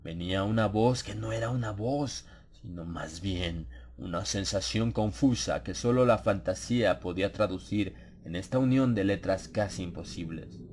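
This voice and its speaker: A man with a low voice narrates a horror audiobook. His storytelling is measured and solemn. Ambient sound effects and some background noise sit under the voice. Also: darkish tone.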